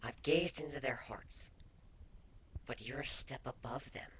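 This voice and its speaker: Guy doing a gentle girl changeling, hushed breathy comforting voice, bit of noise behind it, storybook reading. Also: mid-range voice, close to an everyday voice. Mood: earnest, tender. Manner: soft, breathy, soothing, measured. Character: gentle, consoling female changeling creature.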